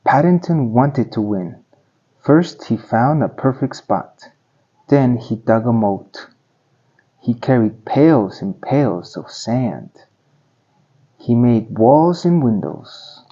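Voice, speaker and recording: A man narrating a children's storybook aloud in simple, deliberate phrasing for young listeners. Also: mid-range voice, animated, dark tone, breathy edge, lo-fi sound.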